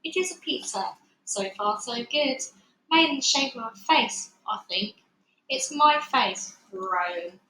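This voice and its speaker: Female children's book reader, bright and animated delivery, echoey room with faint noise. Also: fairly high pitch, takes it slowly. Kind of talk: animated read-aloud narration.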